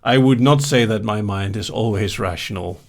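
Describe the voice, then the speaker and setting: Low gravelly man. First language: Dutch. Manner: calm, measured, dry humor. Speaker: hypnosis podcaster answering live chat questions. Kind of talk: casual live Q&A reading chat questions.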